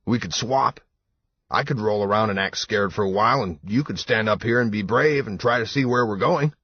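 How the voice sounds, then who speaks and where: A man voicing a steady, chiding companion who firmly urges on a frightened friend in an audiobook. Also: fairly low pitch, normal speed, husky, no echo, highs cut off, voice pushed up. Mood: dry chiding.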